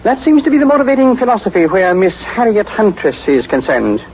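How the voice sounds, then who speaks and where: A man playing a stuffy, middle-aged wealthy gentleman in an old radio drama, speaking formally and precisely. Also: sits high, big pitch swings, brisk. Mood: haughty, fussy. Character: stuffy, wealthy, fussy gentleman client, stepfather. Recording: muffled vintage radio, faint noise.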